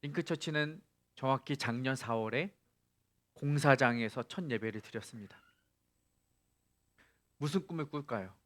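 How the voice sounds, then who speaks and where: A man's voice: mid-range voice, moderate pace, long pauses, a bit bright. A male pastor preaching a sermon, asking the congregation rhetorical questions and rising to emphatic peaks.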